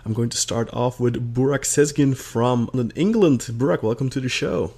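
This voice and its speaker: A man's voice: mid-range voice, normal speed, a little mellow, husky. Upbeat thirty-year-old man hosting a podcast. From Belgium. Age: 30s. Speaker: podcast host. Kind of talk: casual podcast chat.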